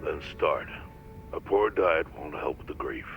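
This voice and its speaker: An actor playing a stern but caring older yakuza chairman on a phone call in a video game, terse and calm. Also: very deep voice, unhurried, vocal fry, faint music behind, full character voice. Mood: calm, concerned. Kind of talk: dramatic video game dialogue.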